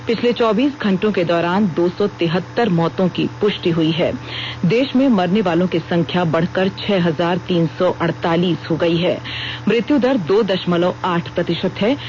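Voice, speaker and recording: A woman's voice: lowish voice, a little mellow. A woman with an Indian accent reading a formal scripted news bulletin as a radio news reader. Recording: dull lo-fi radio broadcast with noise.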